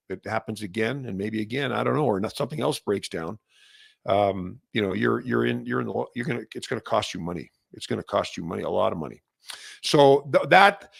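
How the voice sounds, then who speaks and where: A man's voice: medium pitch, vocal fry, dry recording, clean studio sound. A middle-aged Canadian man with a Canadian accent hosting a product industry update, talking unscripted with many fillers and restarts, and getting fired up as he rambles. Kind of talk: unscripted solo monologue.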